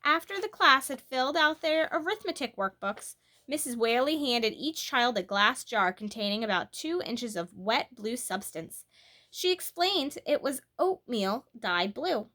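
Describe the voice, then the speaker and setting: A woman reading a children's book aloud as a storyteller, in an expressive, animated way that leans on key words. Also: sits high, a little slow.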